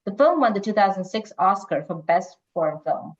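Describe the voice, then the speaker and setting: A woman presents a quiz, moving between read-out questions and casual banter. Her pitch is average, and the recording is dull and lo-fi. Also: animated, brisk. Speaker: quiz host reading questions. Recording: dull lo-fi online call audio.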